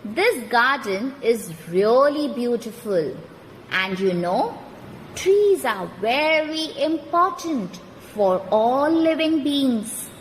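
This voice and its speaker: A woman's voice: sits high, animated, slow. A woman with an Indian accent playing a patient, instructive mother in a children's puppet show. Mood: earnest. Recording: reverberant hall, background noise.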